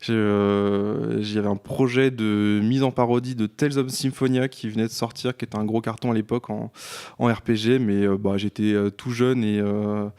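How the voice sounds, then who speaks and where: A man's voice: lowish voice, fairly even intonation, normal speed, a little mellow, smooth. A man speaking as a guest, telling personal anecdotes in an informal, slightly hesitant way. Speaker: guest, audio parody creator.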